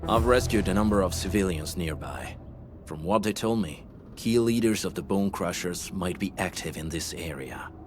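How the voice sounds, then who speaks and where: A man playing a stoic, knowledgeable warrior scout in a video game, speaking in a measured, serious way. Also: background noise, close to an everyday voice. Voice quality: low-pitched voice. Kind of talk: video game character acting. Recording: voice over game music.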